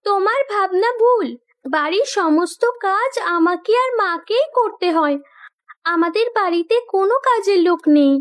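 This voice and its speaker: A woman playing an earnest young landlord's daughter in a Bengali cartoon, gently protesting and caring. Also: extremely high pitch, expressive, moderate pace.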